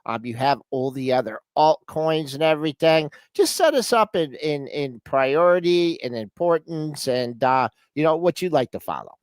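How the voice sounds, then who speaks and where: A man hosting a casual live market show, lively and playful, asking long rambling questions. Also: a little high, moderate pace, few pauses, no room sound. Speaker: show host.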